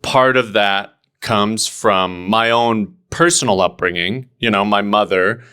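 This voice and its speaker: A man's voice: fairly low pitch, unhurried, bright, forceful tone, clear, resonant tone. A young man from Oregon talking casually and earnestly as a guest comedian, with lots of fillers and restarts. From Oregon, United States. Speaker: stand-up comedian, podcast guest. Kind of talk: casual conversation.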